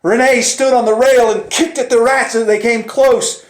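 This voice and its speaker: A man telling a dramatic first-person story in a theatrical manner, moving between hushed tones and urgent cries. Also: very high voice, steady tone, moderate pace. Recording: echoey, roomy sound.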